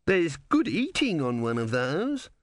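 A man's voice: on the high side, huge pitch swings, unhurried, no room sound, voice pushed up. A man voicing a crowd of petulant male philosophers squabbling over bets.

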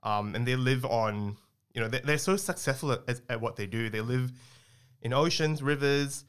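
A man's voice: medium pitch, moderate pace, clear, resonant tone. A young man from Singapore, a scientist guest, explaining his work in a chatty way with lots of fillers and restarts. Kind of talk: casual interview talk.

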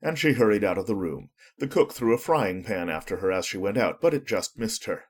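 A man's voice: fairly low pitch, lively intonation, fairly quick, slightly rough. A man narrating a storybook as a scripted audiobook, telling it expressively.

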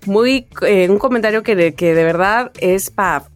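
A woman's voice: mid-range voice, a little slow, faint music behind. A woman with a Mexican accent co-hosting a casual podcast, giving her opinions in colloquial speech. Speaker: podcast co-host. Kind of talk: casual chat.

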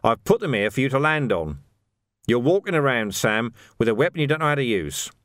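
A gruff veteran police sergeant , acted out by a male narrator, blunt and streetwise, taunting his recruits in an audiobook story. His delivery swings freely up and down, in a close, dry recording. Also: mid-range voice, very animated, a little fast, a bit bright, no room sound. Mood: stern, challenging.

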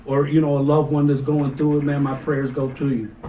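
A man's voice: a little high, little pitch movement, brisk, warm tone, reverberant, recorded from a distance, low-quality recording. A man with an American accent hosting a casual interview, talking in an earnest, colloquial, streetwise way. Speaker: podcast host.

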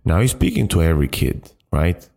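A man with a deep, mellow voice hosts a motivational podcast on his own. His phrasing is halting and emphatic, often circling back to repeat words. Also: expressive, vocal fry. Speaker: podcast host. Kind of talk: motivational solo podcast talk.

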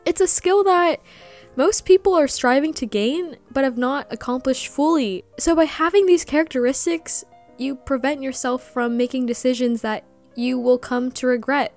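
A woman's voice: high-pitched, normal speed, faint music behind. A young woman hosting a personal podcast, speaking earnestly and reflectively about growing up, with Vietnamese as her first language. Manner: earnest, soft-spoken, breaks into giggles at the end. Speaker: podcast host.

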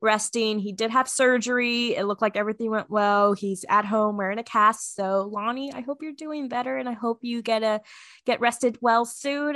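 A woman's voice: medium pitch, fairly bright tone, no echo. This is a woman with a slightly stuffy, congested voice, recorded close in a dry space. She chats casually and at length, drifting between topics with frequent small laughs. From Washington State. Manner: chatty, rambling, laughs often. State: stuffy from a cold. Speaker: podcast co-host; teacher. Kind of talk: casual chat.

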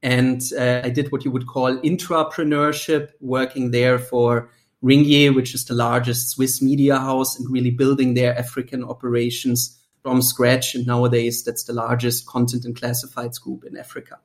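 A man in his thirties speaks English with a German accent, pitched mid-range for a man. He is a founder guest on a podcast. He tells his story in a measured, articulate way. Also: level tone. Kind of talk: podcast interview.

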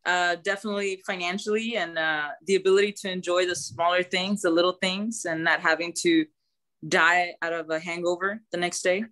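A woman in her thirties chatting as a guest in a quick, easygoing way. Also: lowish voice, steady tone, a bit bright. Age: 30s. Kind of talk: casual group chat.

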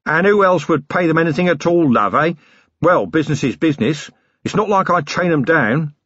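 A man doing a gruff, matey muck tycoon. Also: fairly high pitch, expressive, fairly quick, a bit bright, no room sound, muffled recording, voice pushed up. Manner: blunt, matey working-class bluster. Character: rough, ruthless older male scrap-and-muck tycoon. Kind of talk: audiobook character voice.

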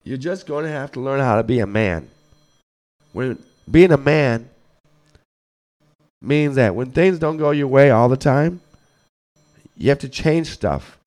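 A hoarse man, getting over the flu, hosts a podcast and delivers a blunt, scolding sports rant, often repeating words as he thinks. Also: mid-range voice, animated, measured pace, long pauses, darkish tone. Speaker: podcast host.

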